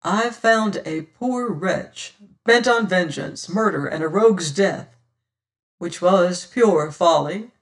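A man's voice: high-pitched, slow, distant mic. A man reading an adventure story as a sly, self-assured pirate who taunts and lectures in archaic seafaring speech. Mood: scornful lecturing. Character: sly, scornful, silver-tongued pirate rogue, mocking and self-assured. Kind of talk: dramatised audiobook reading of an adventure tale.

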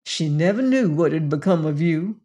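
A woman voicing a gentle, protective cowboy gunslinger with a rich voice and a folksy Western drawl in a storybook reading. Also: sits low, expressive, normal speed, husky. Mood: warm, earnest.

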